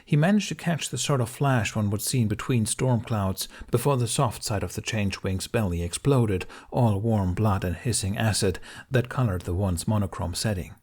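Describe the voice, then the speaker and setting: A man's voice: on the low side, moderate pace, few pauses, a little mellow, husky. A man reading an audiobook of fantasy fiction as a dramatic, intense narrator.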